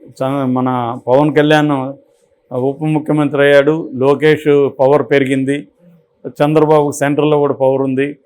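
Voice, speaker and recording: A man's voice: on the high side, a little mellow. A man with a Telugu accent giving unscripted opinionated commentary, explaining through examples and rhetorical questions. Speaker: political and film commentator.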